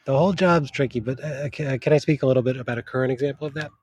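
Husky-voiced man, thoughtful translator. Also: medium pitch, racing, darkish tone. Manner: thoughtful, searching for words mid-sentence. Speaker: literary translator. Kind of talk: casual interview talk.

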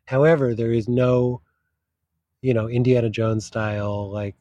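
A man's voice: mid-range voice, fairly even intonation, a little slow, dark tone, dry recording, dull audio. A man who works as a literary translator, talking in a casual interview, thoughtful and often searching for the right words mid-sentence.

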